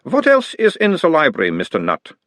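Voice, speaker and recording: A man's voice: a little high, huge pitch swings, brisk, fairly bright tone, dry recording, clean studio sound, voice pushed up. A man voicing an orc who plays his own analyst, asking mild, coaxing questions in an Uberwaldian accent.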